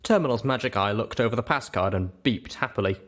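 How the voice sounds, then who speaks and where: A man's voice: on the low side, quick pace, fairly bright tone. A man narrating a story expressively as host of a reading show.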